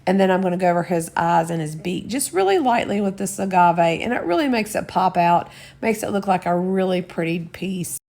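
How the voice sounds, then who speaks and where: Friendly Southern woman host, slightly low, a bit hoarse and scratchy, easygoing step-by-step craft chat. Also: lively intonation, a little fast, a little mellow. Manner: chatty, homey, explains each step. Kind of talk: casual DIY voice-over.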